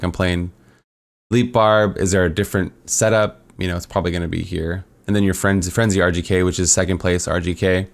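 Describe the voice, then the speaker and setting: A man walking casually through a game build tier list, upbeat and rambling with lots of fillers, his voice a little worn. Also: deep voice. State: losing his voice. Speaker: gaming content creator.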